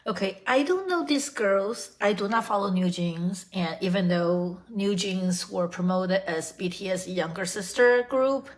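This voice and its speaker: A woman hosting a casual reaction chat, slightly hoarse, talking in a rambling, self-correcting way. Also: on the low side. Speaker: channel host.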